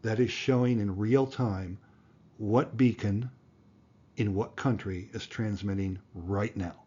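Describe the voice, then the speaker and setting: A man's voice: on the low side, steady tone, slow, darkish tone, husky, dull audio. A man narrating an explanatory tutorial as an instructor, plain-spoken and going through things step by step. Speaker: amateur radio instructor, video host.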